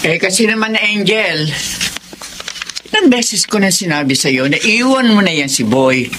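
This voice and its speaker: A woman's voice: mid-range voice, expressive, measured pace, close to an everyday voice. An actress playing an older, disapproving mother in a radio drama, scolding and weary, with a Filipino accent. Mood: exasperated. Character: exasperated, disapproving mother of a grown daughter. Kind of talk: Tagalog radio drama acting. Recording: clear noise behind the voice.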